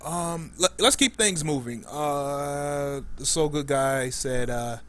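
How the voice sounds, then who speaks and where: A man's voice: on the high side, slow pace, fairly bright tone. A man hosting a casual gaming discussion as the podcast's moderator. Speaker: podcast host.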